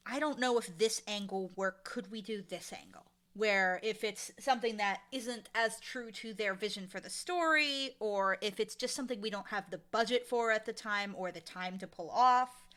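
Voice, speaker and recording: A woman speaking casually and emphatically as an interview guest, an audio drama showrunner with an animated, informal manner. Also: a little high.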